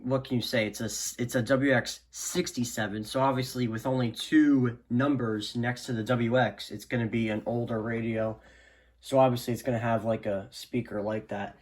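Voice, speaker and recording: A man giving a casual, unscripted product demo in a rambling, hesitant way, often trailing off into "so yeah". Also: mid-range voice. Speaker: hobbyist video maker. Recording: clearly noisy amateur home recording.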